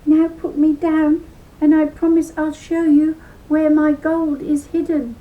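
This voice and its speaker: A woman's voice: sits high, level tone, unhurried, warm tone, pitched above the performer's own voice, rough recording. A woman voicing a tiny, crafty male leprechaun, indignant and wheezy, in a children's folk tale. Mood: relieved, insistent. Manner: wheezy, gasping protest.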